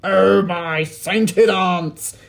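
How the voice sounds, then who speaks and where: A man voicing an excitable, impatient father from a children's storybook, in urgent shouted exclamations. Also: high voice, very slow, creaky, voice pushed up, exaggerated voice. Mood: alarmed exasperation.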